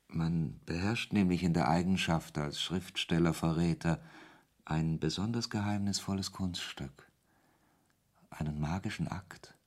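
An actor playing a reflective author who narrates his own tale in a German radio drama, in a measured, literary manner. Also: sits low, husky, exaggerated voice. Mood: knowing, confiding.

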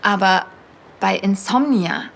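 A woman's voice: mid-range voice, slow pace, gravelly, fairly bright tone, slight background noise. A woman playing an earnest young podcaster, narrating a fiction podcast in a confiding, conversational way straight to her listeners. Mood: intense, haunted. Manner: confiding, addressing listeners directly. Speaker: podcast host.

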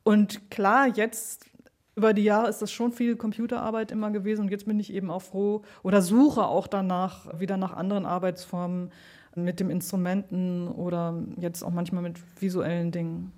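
A woman's voice: medium pitch, level tone, moderate pace, a little mellow. A woman speaking spontaneously and informally in an interview, thinking aloud in loosely strung, reflective thoughts. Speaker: interviewed radio play maker, composer and performer.

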